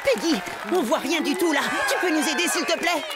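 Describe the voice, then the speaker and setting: High, excitable cartoon boy. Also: a little fast, a loud crowd. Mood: excited. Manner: cheeky, slangy, exclamatory. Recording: loud music behind.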